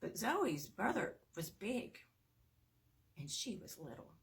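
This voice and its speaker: A woman reading a children's bedtime story aloud, with expressive emphasis and little asides to young listeners. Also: mid-range voice, slow. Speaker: storybook reader at a performing arts school.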